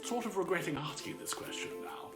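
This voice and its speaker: A man's voice: sits high, animated, fairly quick, music in the background. A man with a British accent voicing a friendly, chatty armadillo puppet who hosts a cosy children's bedtime story show. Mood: dry, weary. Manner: cosy, chatty, repeats phrases. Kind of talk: casual children's livestream chat.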